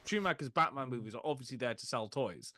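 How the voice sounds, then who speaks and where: A man's voice: medium pitch, expressive, fast, sharp tone, dry recording, clean studio sound. A man casually discussing films as a podcast co-host.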